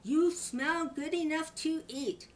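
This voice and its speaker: A woman's voice: high-pitched, slow, noisy background, voice pushed up. An older woman voicing hungry farm animals in a children's storybook, calling out urgently. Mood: eager, urgent. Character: hungry farm animals: rabbit, cow, horse.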